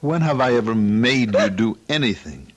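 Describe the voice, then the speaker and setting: An actor playing an anxious, hard-up husband in old-time radio drama style, urgent and exasperated yet tender. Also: male voice, on the low side, expressive, normal speed. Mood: weary, reasoning.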